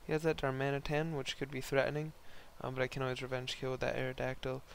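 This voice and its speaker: Gravelly, hoarse young man doing casual game commentary. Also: medium pitch, completely flat delivery, fast talker, slight background noise. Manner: rambling, slangy, laid-back. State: hoarse, voice partly lost from concert the night before. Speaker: host narrating online game battles.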